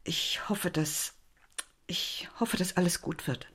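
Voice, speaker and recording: An actress playing a well-bred, uneasy mother eager to smooth things over in a naturalistic stage play, speaking courteously and hesitantly. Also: fairly low pitch, slow, a bit bright, whispered, full character voice. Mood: uneasy, concerned.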